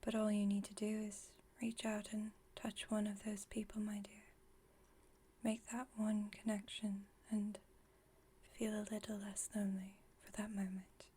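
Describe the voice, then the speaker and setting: A woman playing an aloof, wry vampire friend with a secretly caring side, in a soft, breathy ASMR roleplay, speaking in a dry, teasing, unhurried way. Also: medium pitch, flat delivery. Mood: soft, reflective. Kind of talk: ASMR roleplay, intimate monologue.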